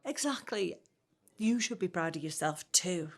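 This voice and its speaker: An actress playing an affectionate young 1950s wife in a naturalistic audio drama, gently teasing and reassuring. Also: female voice, on the low side, animated, a little slow. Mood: teasing, fond. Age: young adult.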